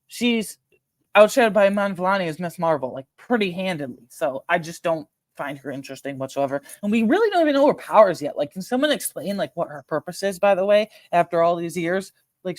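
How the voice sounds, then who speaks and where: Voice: medium pitch, no room sound. A person giving casual, opinionated film commentary as a host, speaking informally and repeating phrases for emphasis. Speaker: host ranking films.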